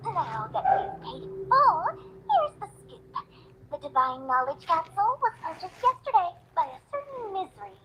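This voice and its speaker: A woman's voice: very high-pitched, sing-song, normal speed, noisy background. A woman voicing a small, sly, greedy merchant in a video game, wheedling with flowery, gushing politeness. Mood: secretive. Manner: wheedling, flowery salesperson talk.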